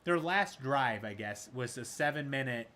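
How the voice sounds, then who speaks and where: A man doing casual live play-by-play of a football game, excitable, his voice raspy from screaming. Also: mid-range voice, normal speed. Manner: excitable, shouts at big plays. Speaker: gaming streamer doing play-by-play. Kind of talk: casual live sports commentary.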